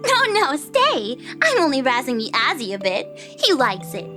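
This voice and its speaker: Woman playing a very high, bubbly teasing death goddess. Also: wildly swooping pitch, moderate pace, sharp tone, soft background music, heavily acted. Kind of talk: amateur audio drama acting.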